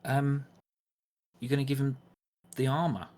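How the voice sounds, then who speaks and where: A man's voice: mid-range voice, little pitch movement, measured pace, a little mellow, pitched above the performer's own voice, natural voice. A man voicing a suspicious, impatient young lad in a tabletop game, asking blunt, clipped questions. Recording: some background noise.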